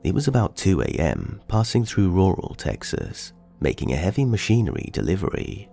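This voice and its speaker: A very low, gravelly male narrator whose pitch swings widely as he reads a first-person horror story. Soft music plays underneath his voice. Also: huge pitch swings, moderate pace.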